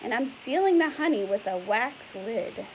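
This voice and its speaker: Lady w a highish voice doing a kids read aloud, explains stuff simply, bit of hiss in the background. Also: animated, moderate pace, poor audio. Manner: patient, explanatory, with questions to the listener. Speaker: teacher reading a picture book aloud to children. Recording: clear background hiss and noise.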